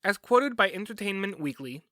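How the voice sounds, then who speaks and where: Guy narrating a documentary podcast, high voice, really lively, dry close sound. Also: normal speed, no echo.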